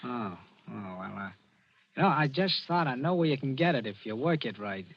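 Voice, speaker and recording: An actor playing a scheming, smooth-talking crook who coaxes his pal in a 1940s radio drama. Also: mid-range voice, animated, normal speed. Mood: hesitant, sly. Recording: muffled old radio broadcast recording.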